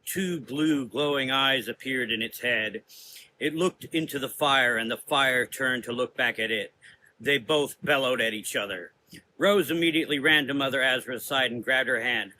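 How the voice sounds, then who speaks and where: A man's voice: mid-range voice, normal speed, pushed and bright, a little hiss or hum, rough recording. A man giving dramatic scripted narration of a gothic audio story.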